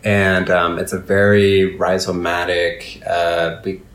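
A man's voice: low-pitched, flat delivery, slow, fairly bright tone. A man who is an ecology scientist, answering interview questions in a hesitant way with many fillers. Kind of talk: conversational interview. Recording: echoey room with faint noise.

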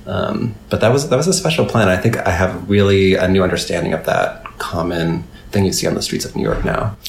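A man's voice: low voice, brisk, vocal fry. A man who is an ecology scientist, answering interview questions in a hesitant way with many fillers. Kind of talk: conversational interview. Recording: echoey room with faint noise.

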